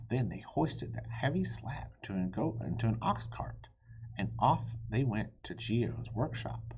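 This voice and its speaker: A man reading a children's story aloud expressively, with animated delivery and chatty asides to his listeners. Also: medium pitch, breathy edge, muffled recording. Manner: animated, pausing to chat to listeners. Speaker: storybook reader.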